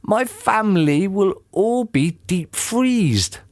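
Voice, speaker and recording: A woman's voice: lowish voice, sing-song, moderate pace, darkish tone, dry recording, voice pushed up. A woman voicing an earnest, worried monkey father in a children's storybook.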